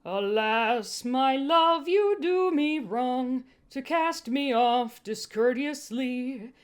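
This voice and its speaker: A woman's voice: high voice, animated, very slow, clean tone, faint music behind, voice pushed up, heavily acted. A woman voicing an upbeat, eager female traveller in an audiobook, with an encouraging, enthusiastic manner. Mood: playful singing.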